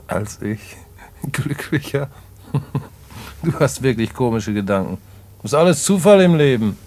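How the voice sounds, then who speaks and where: An actor playing a streetwise, fatalistic small-time crook in jail, musing in colloquial German radio-play style. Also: male voice, medium pitch, animated, takes it slowly, long pauses, darkish tone. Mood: scornful amusement.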